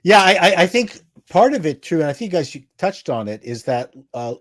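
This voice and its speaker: A man's voice: on the high side, very animated, a little fast, a bit bright, no echo, clean studio sound. A man on a panel chatting casually and telling anecdotes.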